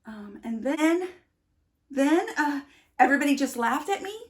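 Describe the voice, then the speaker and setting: An awkward, self-doubting storybook bean, played by a woman, tells its tale in a high voice. It hesitates and stammers, shyly running itself down. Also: lively intonation, a little slow. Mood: glum, hesitant.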